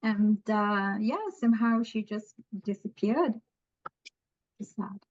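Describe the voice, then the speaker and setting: A woman chatting casually in an art study group, often pausing and restarting. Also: mid-range voice, level tone, highs cut off.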